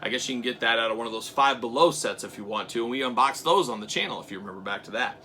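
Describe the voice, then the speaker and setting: Bright, jokey man rambling through an unboxing. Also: medium pitch, very fast, slight background noise. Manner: jokey, rambling asides, swings between loud bursts and mutters. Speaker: toy reviewer. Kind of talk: casual unboxing chatter.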